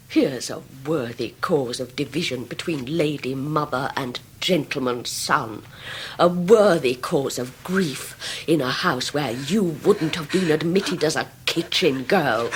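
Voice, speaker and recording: An actress playing a scornful upper-class English Victorian lady in a radio drama, with a breathy, biting, theatrical delivery. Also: sits low, a little hiss or hum. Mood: icy scorn. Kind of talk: 1990s radio drama acting.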